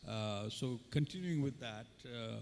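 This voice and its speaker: A man moderates a conference panel, introducing speakers and posing questions. He stops and restarts often, with plenty of fillers. A long hall reverb rings around his medium-pitched voice. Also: lively intonation, darkish tone, a little hiss or hum. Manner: halting, many fillers and restarts.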